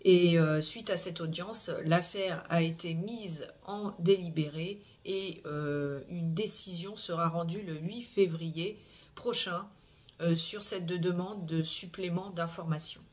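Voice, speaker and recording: A mid-pitched voice explaining a case step by step to an online audience. The talk is measured but hesitant, full of filler sounds, small restarts and tag words at the ends of phrases. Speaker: true-crime channel host. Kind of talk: recounting a criminal case to viewers.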